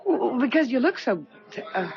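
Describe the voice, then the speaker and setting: An actress playing a wealthy, flashy middle-aged socialite who gushes, in a 1970s radio drama. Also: female voice, a little high, sing-song, moderate pace. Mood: surprised, gushing. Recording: muffled vintage radio recording with music.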